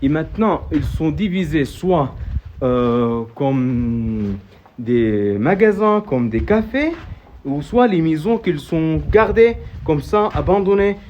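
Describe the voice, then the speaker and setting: A man's voice: on the high side, animated, slow, darkish tone. A man from Saudi Arabia whose first language is Arabic, guiding a tour in French with an Arabic accent, hesitant, full of fillers and repeated words, recorded outdoors. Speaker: local tour guide vlogger. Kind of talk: casual guided-tour explanation. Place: outdoors at historic old town. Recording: dull, slightly noisy outdoor audio.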